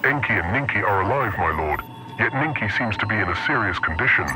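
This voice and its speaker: A man's voice: fairly low pitch, expressive, fast talker, background noise. A man playing an obedient spy henchman in a sci-fi audio drama, reporting deferentially to his lord through a radio communicator. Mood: dutiful. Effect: radio communicator filter.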